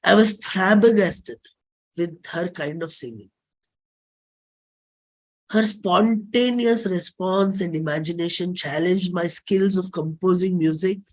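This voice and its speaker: A woman with an Indian accent performs a dramatic reading of a personal stage script, speaking expressively in short, deliberate phrases with pauses. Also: fairly low pitch, low-quality recording. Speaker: classical singer and stage performer.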